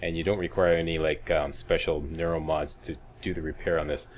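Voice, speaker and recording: A man's voice: a bass voice, little pitch movement, brisk, slight background noise. A man doing casual, chatty video game commentary, guiding viewers step by step as a walkthrough host.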